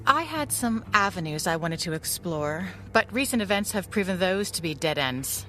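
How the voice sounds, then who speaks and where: Composed, guarded widow, lowish voice. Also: female voice, expressive, normal speed, fairly bright tone, a little hiss or hum. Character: composed, guarded widowed mother, gracious and practical. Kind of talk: audio drama acting.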